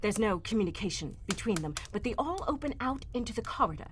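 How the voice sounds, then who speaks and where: A woman playing an anxious young gentlewoman telling her family tragedy in a live old-time radio drama. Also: mid-range voice, lively intonation, fast talker, background noise, lightly acted. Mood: solemn.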